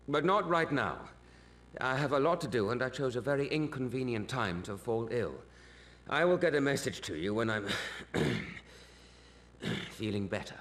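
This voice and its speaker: A kindly middle-aged professor, weary and a little unwell. His speech is gentle, measured and polite, and his pitch rises and falls in a lively way. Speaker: teacher. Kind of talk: video game voice acting.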